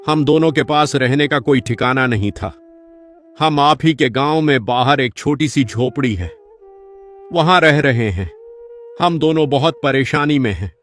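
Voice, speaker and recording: Humble, God-trusting elderly uncle played by a man, animated folk tale; earnest, mid-pitched, music bed. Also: lightly acted. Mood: humble, pleading. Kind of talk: Hindi animated moral-story dialogue. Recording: music behind the voice.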